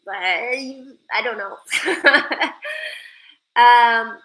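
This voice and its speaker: Young woman from sweden, livestream chat, slow and jokey, kinda high bright voice. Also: poor audio. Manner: slow, joking, playful, reads viewer comments. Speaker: live streamer. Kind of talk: casual livestream chat with viewers.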